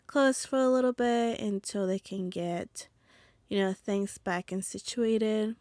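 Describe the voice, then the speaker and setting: A young adult woman hosting a casual podcast alone, talking in a chatty, rambling way with a stuffy, slightly rough, nasal voice from allergies. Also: medium pitch, no room sound. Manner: chatty, rambling with fillers and restarts. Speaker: podcast host. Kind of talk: casual solo podcast chat.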